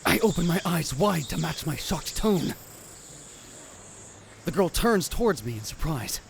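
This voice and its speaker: A man voicing a dramatic young self-styled mad scientist, narrating in the first person in a hushed, grave, theatrical way. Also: on the high side, sing-song, measured pace, creaky, a little hiss or hum, full character voice. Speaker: narrator and lead in fan dub. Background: music.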